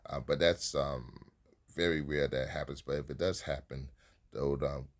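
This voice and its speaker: A man's voice: extremely low pitch, level tone, normal speed. A man giving dating advice to other men in a rambling motivational monologue, often stumbling, restarting and repeating phrases for emphasis.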